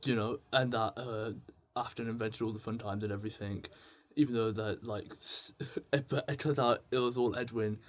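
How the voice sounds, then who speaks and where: A man's voice: mid-range voice, fairly even intonation, moderate pace. Man, late teens, confessional vlog monologue, rambling, stammering restarts, thinking aloud. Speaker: video blogger. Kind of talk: casual confessional monologue to camera.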